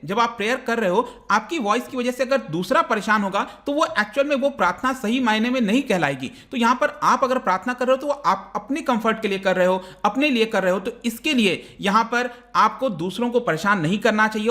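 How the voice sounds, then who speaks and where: High-pitched, bright-voiced Indian man teaching. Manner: conversational, explains step by step, mixes in English terms. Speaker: online exam-prep teacher. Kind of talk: explanatory current-affairs lecture.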